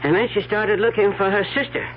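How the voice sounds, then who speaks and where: Muffled radio drama, actor as well-meaning male superintendent, higher-pitched, apologetic, stammering, faint noise. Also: steady tone, brisk, close to an everyday voice. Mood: awkward concern. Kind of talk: old-time radio mystery acting. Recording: dull, noisy old radio broadcast.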